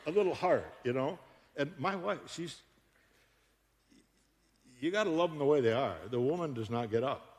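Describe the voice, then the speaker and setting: A man's voice: on the high side, normal speed, long pauses, slight background noise. Joking older man preaching in a hall. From Buffalo, New York. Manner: joking, animated storyteller with frequent laughs. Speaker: guest speaker. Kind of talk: humorous sermon. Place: church auditorium.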